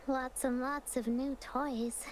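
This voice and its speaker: A woman's voice: high voice, level tone, slow pace, a loud crowd. A woman voicing a wistful, earnest young child in a video game, speaking hesitantly. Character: wistful young child missing a lost ranger mother.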